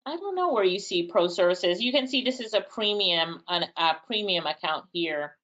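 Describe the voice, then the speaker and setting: A woman's voice: medium pitch, animated, normal speed, fairly bright tone, lo-fi sound. A woman with a Jamaican accent presenting a live online workshop, casually answering chat questions and thinking aloud. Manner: casual, chatty, thinks aloud, laughs lightly. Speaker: webinar presenter.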